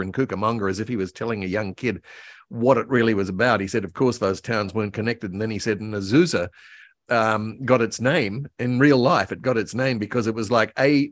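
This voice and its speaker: A man's voice: lowish voice, no echo, clean studio sound. An older man with an Australian accent, casually and enthusiastically reminiscing as an interview guest on a video call. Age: older, about 70. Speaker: interview guest, voice actor and author. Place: room at home, on a video call.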